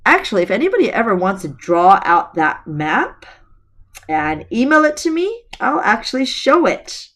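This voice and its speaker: A middle-aged woman teacher, slightly hoarse, reading a novel aloud to her students with chatty asides, recorded on a laptop webcam at home. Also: on the high side, sing-song, moderate pace, a bit bright. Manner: chatty, addresses students directly. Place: room at home.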